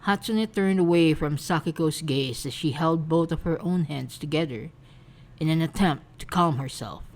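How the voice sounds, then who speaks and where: Brief: female narrator for romantic fan fiction, low register, soft storytelling read. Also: normal speed.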